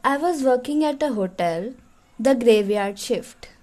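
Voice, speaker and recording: A woman co-hosting a podcast, reading a listener's story aloud in a relaxed way with small laughs. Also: fairly high pitch, unhurried. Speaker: podcast co-host.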